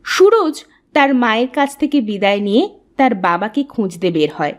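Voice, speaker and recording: A woman's voice: a little high, very animated, fairly quick, highs cut off. A woman reading scripted narration for a cartoon story.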